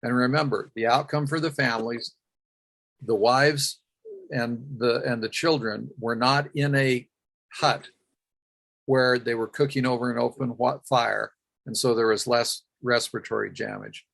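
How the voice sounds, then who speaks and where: A man's voice: medium pitch, fairly even intonation, unhurried, vocal fry, a bit bright. A man presenting at a workshop, recounting a field project and answering questions, with frequent "uh" fillers and self-corrections.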